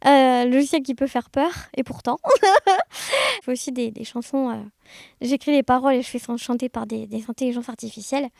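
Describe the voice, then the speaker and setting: High-voiced woman in casual chat. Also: steady tone, brisk, gravelly, warm tone, dry recording, studio quality. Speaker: interview guest, amateur audio fiction maker. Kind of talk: casual conversational interview.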